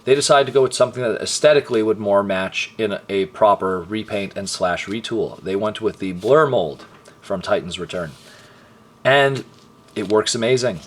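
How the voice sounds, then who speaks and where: A hoarse, tired man hosting a casual toy review, chatting along and often clearing his throat. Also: on the low side, normal speed. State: hoarse, voice partly lost. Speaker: toy reviewer and channel host.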